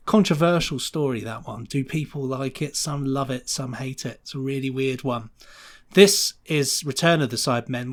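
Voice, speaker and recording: A man speaking as a podcast guest and sound designer, chatting casually and enthusiastically in an effusive, rambling way. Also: mid-range voice.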